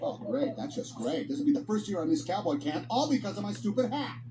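A man voicing a worried male cowboy toy in animated film dialogue, talking fretfully and urgently. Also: sits high, quick pace, background noise. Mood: dejected. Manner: fretful, frantic, then apologetic. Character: anxious male cowboy toy, sheriff type.

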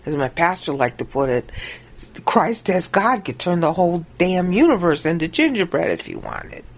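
A woman giving an informal Bible teaching monologue over a screen recording, acting out quoted lines with big jumps in pitch. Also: sing-song, moderate pace.

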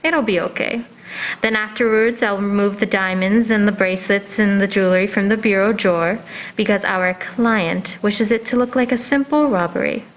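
A businesslike hired killer , acted by a woman in a 1940s-style radio play. The voice is mid-pitched for a woman, matter-of-fact and compliant, with short reassuring replies. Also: steady tone, moderate pace, natural voice, poor audio. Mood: calm, conspiratorial. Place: dark stage, masked, at prop payphone.

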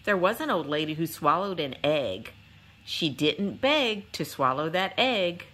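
A woman host reading a children's picture book aloud in an expressive, sing-song, rhythmic storytelling style. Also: lowish voice, measured pace, slight background noise. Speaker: read-aloud channel host.

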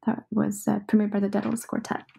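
A young woman composer answering interview questions in a thoughtful, casual way, with many pauses and fillers. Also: mid-range voice, completely flat delivery, creaky, warm tone, husky.

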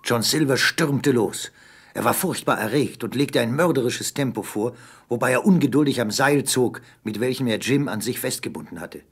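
Male storyteller with a medium-pitched voice. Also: lively intonation, normal speed, breathy edge. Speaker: narrator. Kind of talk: scripted dramatic storytelling in German audio play.

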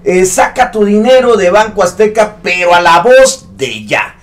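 A man's voice: sits high, very animated, unhurried. A man in his thirties hosting an online news commentary show, speaking with emphatic indignation. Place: studio set with a news backdrop.

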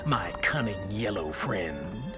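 Slow, smug little monster villain. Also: male voice, fairly low pitch, lively intonation. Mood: sly. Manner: slow, menacing, mock-polite. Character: small smug evil male cartoon monster, sly villain. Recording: loud game music over voice.

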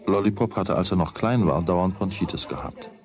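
A man narrating a children's radio play as a wry, deliberate storyteller with a husky voice. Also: low voice, animated, darkish tone. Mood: wry, measured. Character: wry storyteller of a boy's everyday troubles.

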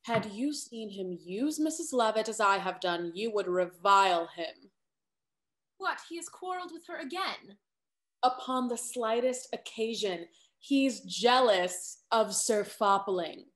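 A woman playing a sharp-tongued, secretive young lady in love, delivered dramatically in a Restoration comedy reading. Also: medium pitch, expressive, measured pace, close to an everyday voice. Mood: indignant. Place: indoor home room on a video call.

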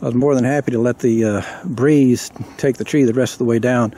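Guy chatting to the camera out in the woods, soft mellow tone, keeps restarting his sentences. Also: medium pitch, steady tone, a little fast, husky. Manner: rambling, self-correcting explanation. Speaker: vlogger. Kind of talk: casual talk to camera. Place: outdoors in a woodland clearing.

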